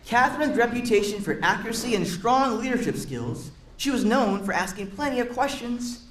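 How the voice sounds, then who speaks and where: A man's voice: very high voice, animated, normal speed, a bit bright, slight background noise. A man reading a picture book aloud to children, encouraging them and chatting in asides to explain words and ask questions. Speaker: read-aloud storyteller for children. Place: roomy indoor space with a group of children. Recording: echoey room.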